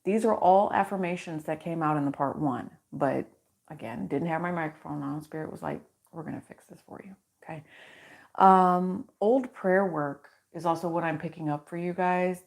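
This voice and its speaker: A woman with a low voice hosting a casual livestream card reading into a microphone, chatty and reassuring as she speaks in affirmations. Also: moderate pace, vocal fry, a little mellow. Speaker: tarot reader and channel host.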